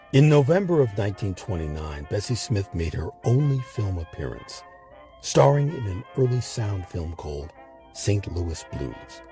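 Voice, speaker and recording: Husky, expressive low-voiced male narrator. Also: no echo. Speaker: host and narrator of a history series. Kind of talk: scripted documentary narration.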